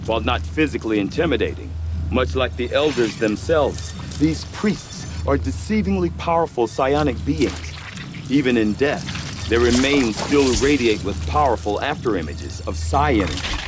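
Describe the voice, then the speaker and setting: A man's voice: medium pitch, lively intonation, lightly acted. Man playing a precise, self-assured scientist, music behind. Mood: clinical, intrigued. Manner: formal, precise, measured. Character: erudite, self-assured male alien-research scientist. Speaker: scientist, autopsy narrator. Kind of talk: scripted video game cutscene acting.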